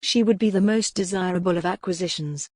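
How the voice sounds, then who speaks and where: A woman's voice: on the low side, brisk, a little mellow, no echo, studio quality. A woman reading a scripted work of fiction as an audiobook narrator.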